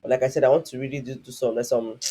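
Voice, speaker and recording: Guy with a husky voice, teaching a class over a call, keeps restarting his sentences. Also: mid-range voice, fairly even intonation, very fast, warm tone. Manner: rambling, with many restarts and fillers. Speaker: online class instructor. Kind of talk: live online class. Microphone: online call.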